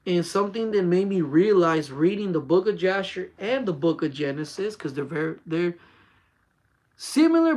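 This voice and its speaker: A man in his forties hosting a casual faith talk for his channel, speaking in an earnest, repetitive, urging way. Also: high voice. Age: 40s. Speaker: channel host.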